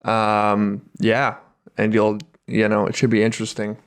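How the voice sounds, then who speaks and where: A man's voice: on the low side, completely flat delivery, measured pace, clear, resonant tone. A man co-hosting a podcast in an easygoing, agreeable, casual way. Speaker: podcast co-host. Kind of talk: casual chat.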